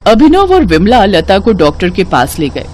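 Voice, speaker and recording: Lively woman narrating a moral story. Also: medium pitch, normal speed, very noisy.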